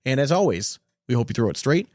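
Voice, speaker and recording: A man's voice: mid-range voice, sing-song, fast talker, darkish tone, no room sound. A man in his thirties hosts a casual podcast in an ordinary mid-range voice. He talks loosely and slangily, like chatting with buddies, and the sound is close and dry. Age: 30s. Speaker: podcast host.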